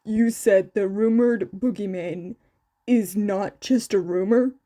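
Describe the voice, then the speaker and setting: Casting: skeptical male investigator read by a woman, serious, slow, muted tone, audio story dialogue. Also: mid-range voice, dark tone, lightly acted. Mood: disbelieving. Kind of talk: acted dialogue in fan fiction audio.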